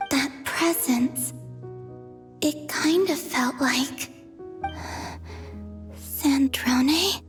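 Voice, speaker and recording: Very slow, dreamy young woman. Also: high voice. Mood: wistful, pensive. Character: soft, dreamy, enigmatic young woman, unhurried film actress. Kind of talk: animated game voice acting. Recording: clear music under the voice.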